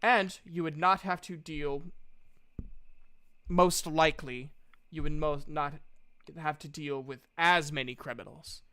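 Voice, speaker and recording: An earnest, diplomatic female guild representative in a fantasy tabletop game, played by a man, speaking in a measured, formal way. The voice is clear and unhurried, recorded dry. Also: fairly high pitch, steady tone, pushed and bright, no room sound. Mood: measured, persuasive.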